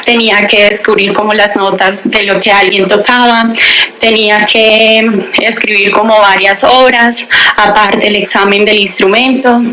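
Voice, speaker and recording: A woman's voice: medium pitch, a little slow, rough recording. A young woman, a language teacher giving a radio interview and candidly telling her story. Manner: lively, candid storytelling, acts out quoted dialogue.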